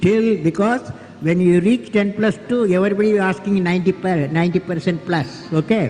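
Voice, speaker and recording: A man's voice: high-pitched, very slow, a little mellow. A man giving earnest advice to students, repeating his point and checking in with "okay?", from an archive clip in a reverberant hall with faint music.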